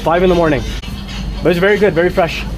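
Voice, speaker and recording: A fairly high-voiced man from Canada narrates his travel vlog as he walks a busy outdoor market, upbeat and chatty, calling his viewers "guys." It's caught on a handheld camera mic out on the street, with music running clearly underneath. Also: fairly even intonation, quick pace, low-quality recording. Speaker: travel vlogger.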